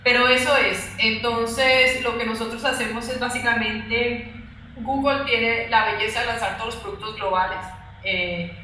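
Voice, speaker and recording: A woman's voice: fairly high pitch, steady tone, moderate pace, pushed and bright. A woman executive giving explanatory answers as an interview guest, often ending phrases with a questioning tag. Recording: very echoey room, faint background noise.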